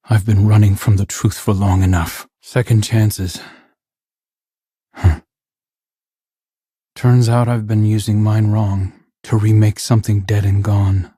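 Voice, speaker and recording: A remorseful young hero for a video game, with a low, husky voice. He is earnest and introspective, confiding softly in a mellow tone. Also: dry recording, studio quality. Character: remorseful, earnest young male guardian seeking redemption. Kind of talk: dramatic game voice acting.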